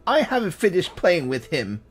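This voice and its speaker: A man voicing a mischievous little girl in a game, reading her lines in a high pouting voice. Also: huge pitch swings, normal speed. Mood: playful. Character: mischievous little girl who wants to keep playing.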